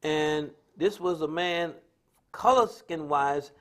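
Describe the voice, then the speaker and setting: A man's voice: a little high, takes it slowly. An American man speaking off the cuff in a preaching style on a religious talk stream, emphatic and repetitive, with colloquial storytelling. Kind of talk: impromptu preaching-style social commentary.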